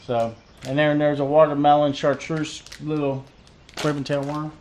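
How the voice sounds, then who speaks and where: A man in his thirties with a fairly high voice rambles casually about his gear, repeating phrases and leaning on fillers. He talks to a camera set on a desk in a cluttered room, with a faint hiss underneath. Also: flat delivery, moderate pace, darkish tone. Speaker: fishing vlogger. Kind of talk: casual unboxing chat. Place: cluttered tackle room indoors.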